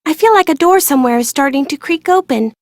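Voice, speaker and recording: A woman's voice: high-pitched, lively intonation, fast talker, dry recording, studio quality. An actress playing a glum, guarded young woman in a video game, plain-spoken and self-deprecating. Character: withdrawn, glum young woman haunted by childhood loss.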